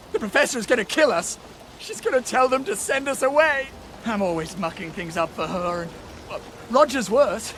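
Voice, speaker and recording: An actor playing a frantic, self-pitying bumbling lab houseboy in a video game, agitated and overwrought, with a British accent. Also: male voice, high-pitched, a bit bright, slight background noise. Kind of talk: video game character acting.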